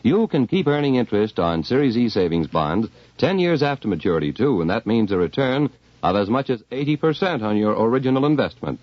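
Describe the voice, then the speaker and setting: Lively male vintage radio pitchman. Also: no echo. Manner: persuasive salesman's delivery. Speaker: radio announcer. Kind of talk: vintage scripted radio advertisement. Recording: dry but muffled vintage radio recording.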